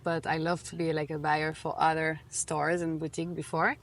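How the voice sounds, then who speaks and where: A woman in her thirties with a French accent, a guest in a relaxed interview, talking casually and full of fillers. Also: sits low, normal speed. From France. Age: 30s. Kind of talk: casual conversation.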